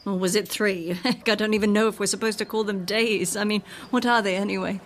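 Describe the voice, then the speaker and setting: An actress playing a bitter, volatile, sarcastic wife from another dimension in a radio drama. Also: female voice, mid-range voice, steady tone, brisk, a little hiss or hum, natural voice. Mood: breezy, teasing.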